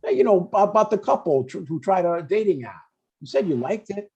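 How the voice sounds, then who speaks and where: Man playing a mellow, husky older dad. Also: high voice, lively intonation, fairly quick, dull audio, full character voice. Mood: earnest, defensive. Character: chatty, breezy, stubborn older father, a church deacon. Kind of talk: comedy script table read, naturalistic.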